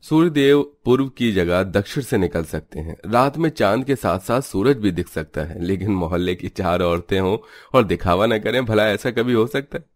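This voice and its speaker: A man tells a cartoon story as a scripted narrator. His voice sits very low, and the delivery is dramatic, like a storybook. Music plays clearly underneath. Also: animated, moderate pace, hardly any pauses, no echo.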